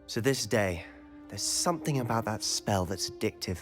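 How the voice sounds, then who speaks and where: An actor playing a charming teenage wizard schoolboy in a game, speaking in a lively, curious, confiding manner. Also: male voice, on the low side, moderate pace, over music, natural voice.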